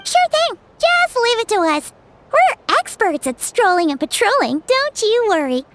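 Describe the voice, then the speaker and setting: A woman voicing a tiny, excitable fairy companion who talks about herself in the third person, in video game dialogue. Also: extremely high pitch, measured pace, noisy background, exaggerated voice. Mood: chirpy. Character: tiny floating fairy companion, chirpy, excitable, self-centered. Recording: game soundtrack music behind.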